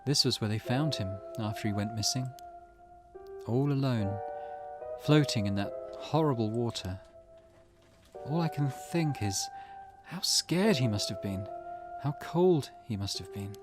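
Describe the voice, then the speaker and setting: A man's voice: mid-range voice, animated, measured pace, music in the background, natural voice. A man voicing a grieving, reserved mother in a visual novel, with a restrained and somber manner. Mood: sorrowful, bitter. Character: grieving, reserved, bitter mother of a lost son. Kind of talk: reading visual novel dialogue aloud.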